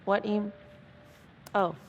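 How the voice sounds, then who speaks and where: An actress playing a level-headed, loyal colleague of a detective in a naturalistic video game drama. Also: female voice, medium pitch, fairly even intonation, extremely slow, background noise. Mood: guarded.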